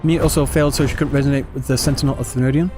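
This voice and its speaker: A man's voice: medium pitch, fairly even intonation, very fast, warm tone. A man livestreaming a game, talking casually to viewers in a rambling, stop-start way, on a close mic. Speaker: game streamer. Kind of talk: casual livestream chat answering viewer comments. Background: game music.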